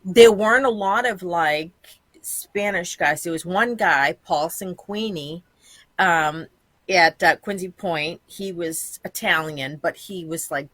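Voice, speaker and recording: Guest type: fifties woman, bright, candid rambling talker, dry recording. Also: on the low side, slow pace, dry recording. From Massachusetts, USA. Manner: blunt, chatty, rambling with many ums. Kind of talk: candid casual interview chat.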